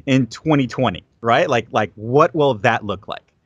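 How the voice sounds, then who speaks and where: A man's voice: mid-range voice, measured pace, a bit bright, no room sound, highs cut off. A man talking as a guest in a casual interview, rambling and repeating his words with many fillers. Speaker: guest content creator.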